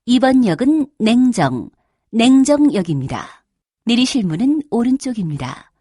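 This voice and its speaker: A woman giving recorded subway station announcements in a polite, formal, measured way. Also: fairly high pitch, big pitch swings, dark tone, breathy edge, no echo, studio quality.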